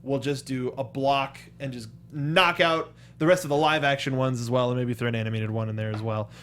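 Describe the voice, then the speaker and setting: A man co-hosting a film review show, chatting casually and haltingly, often restarting his sentences. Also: medium pitch, fairly even intonation, fairly bright tone. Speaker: co-host of a movie review show. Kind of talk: casual conversational film discussion.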